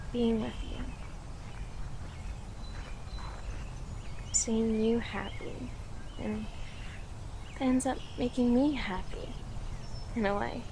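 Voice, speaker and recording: A woman playing an affectionate, playful girlfriend in an audio roleplay, talking softly and giggly to the listener with lingering pauses. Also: a little high, background noise. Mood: tender. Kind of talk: audio roleplay, intimate slow-paced monologue to listener.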